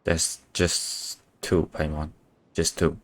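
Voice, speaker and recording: A man's voice: a bass voice, little pitch movement, slow pace, noisy background. A man giving casual livestream game commentary in short, dry, offhand reactions. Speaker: game streamer.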